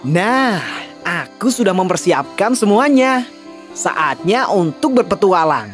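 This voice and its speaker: A woman's voice: mid-range voice, very animated, takes it slowly, faint music behind. A woman playing a skinny, cheerful, curious young man in a children's story, with a wide-eyed, upbeat manner. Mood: cheerful, eager.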